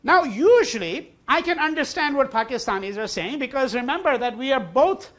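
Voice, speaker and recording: A man's voice: extremely high pitch, animated, moderate pace, fairly bright tone. A male university lecturer whose first language is Hindi, giving a classroom lecture. He repeats key words for emphasis and asks and answers his own questions. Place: lecture hall.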